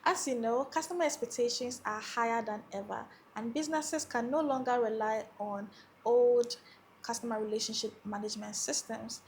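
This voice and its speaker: A woman's voice: on the high side, a little hiss or hum. A woman hosting a podcast interview, reading a scripted introduction and then prompting her guest. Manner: scripted show intro, then brief encouraging backchannels. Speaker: podcast host.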